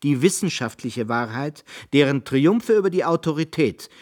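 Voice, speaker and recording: An actor playing an eloquent Italian humanist idealist, courteous yet theatrically rhetorical, in a radio drama. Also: male voice, a little high, expressive, normal speed. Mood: earnest, challenging. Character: high-minded humanist Italian gentleman, eloquent idealist debater. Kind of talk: German radio drama acting.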